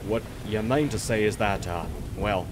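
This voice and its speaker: Soft-hearted pirate crewman played by a man. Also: lowish voice, normal speed, noisy background, close to an everyday voice. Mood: nervous pleading. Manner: stammering, pleading before his captain. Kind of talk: dramatic audio roleplay. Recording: loud rain and ship ambience.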